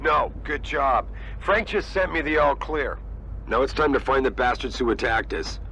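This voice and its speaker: Commanding game executive, medium-pitched voice, brisk, businesslike, authoritative. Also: male voice, creaky, pushed and bright, a little hiss or hum, low-quality recording. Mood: brisk, determined. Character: brisk, commanding male corporate boss. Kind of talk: video game cutscene acting.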